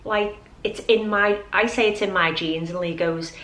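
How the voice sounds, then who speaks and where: A woman's voice: mid-range voice, steady tone, normal speed, a bit bright. A middle-aged woman chatting informally and ramblingly to camera as a lifestyle vlogger. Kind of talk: casual chatty vlog to camera. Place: at home.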